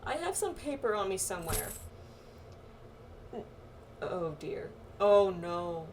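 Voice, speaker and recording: A woman playing a game with friends, reading its dialogue aloud casually and haltingly, with reactions of her own. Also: medium pitch, sing-song, slight background noise. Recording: game music behind the voice. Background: video game music.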